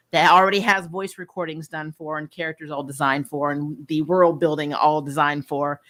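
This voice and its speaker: A woman hosting a casual livestream review, chatting with viewers in an animated, emphatic way and repeating phrases for effect. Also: low voice. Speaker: host of a comic and TV review channel. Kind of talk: casual livestream chat, answering viewer questions.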